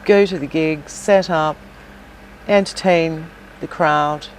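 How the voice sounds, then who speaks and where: A woman's voice: low-pitched, fairly even intonation, takes it slowly, a little hiss or hum, low-quality recording. A middle-aged woman, a former singer, chatting casually in an interview, with many fillers and restarts. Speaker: podcast guest, shop owner and former singer.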